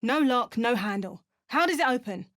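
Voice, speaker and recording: An actress playing an adventurous, earnest young fantasy hero in a video game, with a measured, dramatic delivery. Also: female voice, a little high, expressive, a bit bright, no echo, clean studio sound. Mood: alert, questioning. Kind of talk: video game character voice lines.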